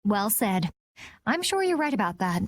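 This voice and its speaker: A woman voicing a calm, coolly perceptive young woman in a video game, measured and composed. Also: mid-range voice, no echo, lightly acted. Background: game music.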